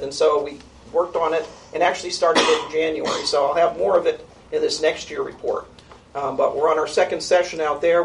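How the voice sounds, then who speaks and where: Woman, low-register voice, informal report to a board, lots of ums, distant mic, faint background noise. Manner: conversational, with frequent ums and restarts. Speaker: youth program coordinator presenting an annual report.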